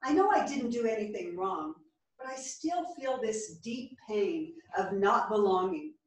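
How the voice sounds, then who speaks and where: A woman's voice: medium pitch, animated, measured pace, close to an everyday voice. A woman playing a frank, bookish, wounded character in a staged play reading, recorded from far off in a theatre. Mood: confessional, pained. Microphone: far camera. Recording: echoey theatre, far from the microphone.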